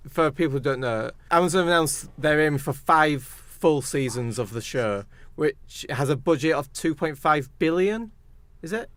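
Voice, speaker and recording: A man's voice: on the high side, expressive, moderate pace, a bit bright, no echo. A man co-hosting a podcast, talking casually through film news. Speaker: podcast co-host.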